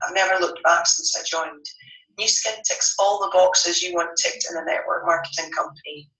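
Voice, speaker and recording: Stuffy-voiced woman, bright and emphatic. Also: lowish voice, fairly even intonation, normal speed, far from the mic. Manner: upbeat, emphatic, coaching. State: stuffy from a head cold. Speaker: network marketing distributor. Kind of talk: motivational training talk. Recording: roomy echo, voice set back from the mic.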